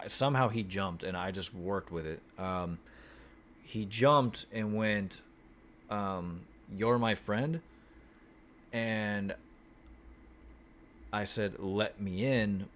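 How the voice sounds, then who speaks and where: A man telling his vlog viewers about an acting class, talking in a flat monotone, swearing freely and restarting his sentences often. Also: deep voice, a little hiss or hum. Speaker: acting student vlogger. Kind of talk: casual chat. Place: Houston, Texas.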